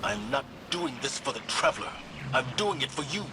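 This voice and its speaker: A man's voice: mid-range voice, expressive, a little fast, very noisy, natural voice. Man voicing a subdued, grieving commander. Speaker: military commander.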